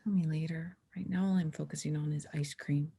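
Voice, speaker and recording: A woman's voice: low voice, steady tone, fairly quick, creaky, warm tone, slightly rough, heavily acted. A woman playing a stubborn teenage girl snowboarder in a screenplay table read, with a dry, sarcastic manner. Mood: dejected.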